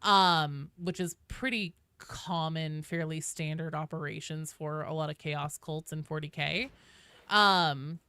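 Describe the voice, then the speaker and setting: A woman's voice: low-pitched, fairly even intonation, a little slow, sharp tone, clean tone, no room sound. A woman hosting a casual game livestream, chatting informally and explaining things at length as she talks directly to viewers. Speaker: video game streamer, host.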